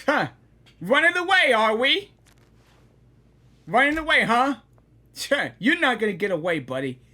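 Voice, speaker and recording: A woman doing excited live game reaction commentary, exclamatory, slangy and repetitive. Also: on the high side, a little slow.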